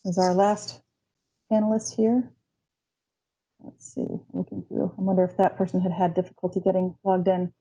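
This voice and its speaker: A woman's voice: lowish voice, little pitch movement, normal speed, long pauses, dark tone, low-quality recording. A woman moderating a student panel over a video call, giving brief, friendly prompts.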